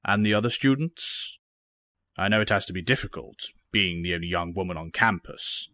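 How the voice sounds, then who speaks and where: Casting: male actor as calm fatherly mentor and headmaster, low voice, formal authority, dry close sound, audio drama. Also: dry recording. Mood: patient, serious. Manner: measured, formal, gentle authority.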